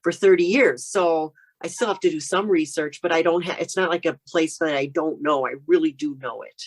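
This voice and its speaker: A woman's voice: sits low, fairly quick, breathy edge. An American woman speaking as a guest author, chatting casually and telling anecdotes in an interview.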